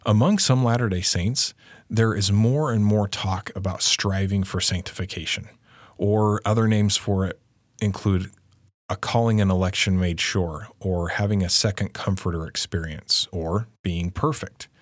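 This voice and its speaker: A man's voice: sits low, steady tone, moderate pace, dry recording, clean studio sound. A man co-presenting a religious lesson, explaining scripture in an earnest teaching manner.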